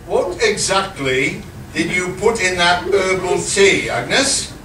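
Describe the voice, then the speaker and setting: A man playing a prim, slightly embarrassed know-all in a live comedy radio play, speaking deliberately. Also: high voice, lively intonation, exaggerated voice. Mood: prim, alarmed. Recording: echoey distant live recording, loud noise, audience.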